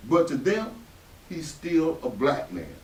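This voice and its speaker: A man's voice: on the high side, wildly swooping pitch, slow pace, a little mellow, a little hiss or hum, heavily acted. A man with a Southern American accent playing an embittered, protective father in a stage reading, in emphatic folksy speech. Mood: weary, firm. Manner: emphatic, folksy vernacular speech with dramatic swells. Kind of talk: stage readers theatre acting. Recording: echoey room, distant stage microphone.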